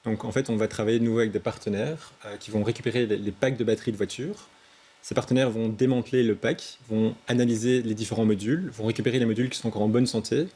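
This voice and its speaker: A man's voice: lowish voice, fairly even intonation, quick pace, dark tone, breathy edge. A man, a start-up founder giving structured technical explanations.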